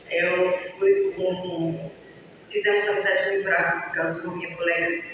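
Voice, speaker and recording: A woman from Bulgaria, talking reflectively as a musician interviewee. She pauses often with drawn-out fillers. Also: mid-range voice, a bit bright. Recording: echoey, long-reverb room, mic far off, faint hiss.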